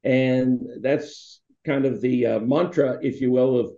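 An older man reminisces in a mellow, warm voice, wandering through anecdotes with plenty of fillers. His webcam audio is a bit dull and sounds roomy, as if from an open room at home. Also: medium pitch, fairly even intonation, takes it slowly. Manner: rambling, anecdotal, with many fillers. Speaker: foundation founder, interviewee. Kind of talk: relaxed storytelling. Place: home room with wall hanging. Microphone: video call webcam.

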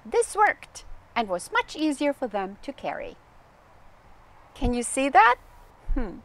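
Older woman, children's storytime host, unhurried slow pace, lively swooping intonation, gentle read-aloud delivery. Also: sits high. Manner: gentle, expressive, addressing young listeners with questions. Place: outdoors on a garden bench.

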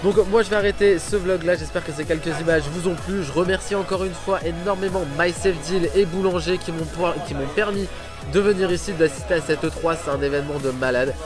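A man's voice: a little high, brisk, music in the background. A man, talking to camera in an informal, enthusiastic vlog style. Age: 20s. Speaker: video game vlogger, host.

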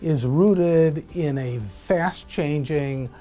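An older man speaks with a mellow, relaxed tone as a business school dean. His speech is measured and deliberate, and faint music plays under it. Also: on the high side. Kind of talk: promotional interview. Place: indoor office.

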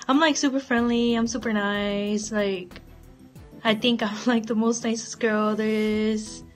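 Twenties woman from Texas, fairly high, flat delivery, faint music. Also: measured pace. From Texas, USA. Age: 20s. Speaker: contestant. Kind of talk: casual self-introduction. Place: dim bedroom.

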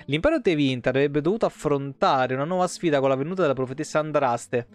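A man in his thirties with a slightly high voice streams a game. He reads the lore aloud and mixes in casual, irreverent commentary. Soft music plays behind him. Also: animated, quick pace. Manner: irreverent, foul-mouthed asides. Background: background music.

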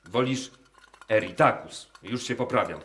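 An actor playing an obsessive, officious military ornithologist in a radio drama, speaking in a deliberate, precise manner with menacing tenderness. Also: male voice, lowish voice, fairly bright tone, slight background noise. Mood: obsessive, coaxing. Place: performed live in a wooden barn.

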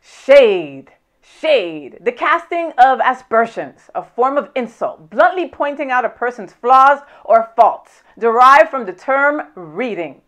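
An upbeat woman in her thirties hosts a web show from a small indoor set. Her voice sits high, and she reads definitions brightly, then breaks into easy giggles with her guest. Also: very animated, slow. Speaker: host. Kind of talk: hosted web show segment.

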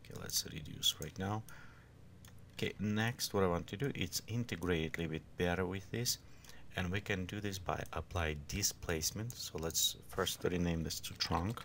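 Male tutorial instructor, non-native English, creaky voice, unscripted and halting, recorded with background noise and keyboard clicks. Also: low voice, a bit bright. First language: not English. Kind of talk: informal step-by-step screen tutorial.

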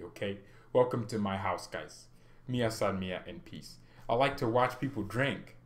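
A young man hosting a football fan channel from his home room, chatting loosely and jokingly to viewers while seated at his desk. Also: on the low side. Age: young adult. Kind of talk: casual chat to viewers. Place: home room with flag behind.

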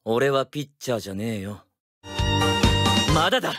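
A man voicing a gruff, competitive teenage boy baseball catcher in an anime-style game. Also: medium pitch, expressive, moderate pace, over loud music, lightly acted. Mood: gruff, defiant.

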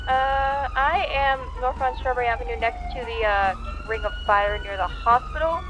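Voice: high-pitched, moderate pace. A player voicing police radio calls in a roleplay game, in a hesitant, questioning way. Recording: game radio chat under loud sirens. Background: sirens and vehicle noise.

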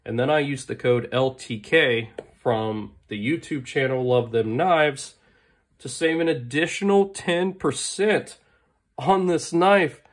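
A hoarse man getting over a lost voice, hosting a knife channel with eager, casual show-and-tell and frequent throat clearing. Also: mid-range voice, slow. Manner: enthusiastic, clears throat often, filler-heavy. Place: indoors at a desk. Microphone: overhead camera on table, hands only.

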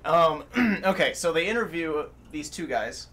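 Higher-pitched young man telling a story casually. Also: measured pace, a bit bright. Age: 20s. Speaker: podcast co-host. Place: furnished home room, indoors. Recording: faint room noise.

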